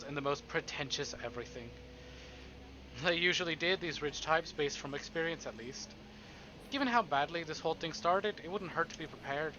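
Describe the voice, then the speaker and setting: A man's voice: on the high side, sharp tone, lo-fi sound, slight background noise. A man streaming a visual novel, reading it aloud theatrically with playful, casual asides.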